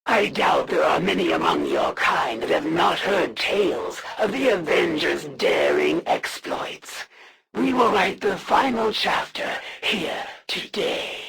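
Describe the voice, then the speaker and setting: A woman voicing a proud, menacing female alien assassin in a video game, with a gravelly voice and a haughty, formal, commanding delivery. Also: mid-range voice, a bit bright, exaggerated voice. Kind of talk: theatrical game villain voice acting.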